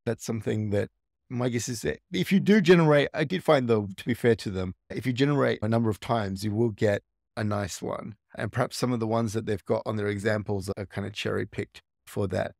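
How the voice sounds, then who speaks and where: A man's voice: on the low side, fairly quick, gravelly, a little mellow, no room sound, studio quality. A man hosting a tech tutorial, casually walking through a screen recording and thinking aloud with small restarts.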